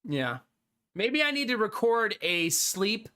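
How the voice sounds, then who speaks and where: Animated, bright-voiced male streamer. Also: high-pitched, takes it slowly, clear, resonant tone. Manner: animated, talkative, laughs often. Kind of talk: casual chat with live chat.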